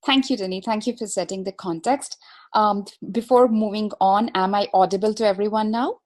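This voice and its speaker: A woman's voice: mid-range voice, fairly even intonation, moderate pace, darkish tone. A woman presenting a webinar as a consultant, explaining material step by step in a formal, methodical way.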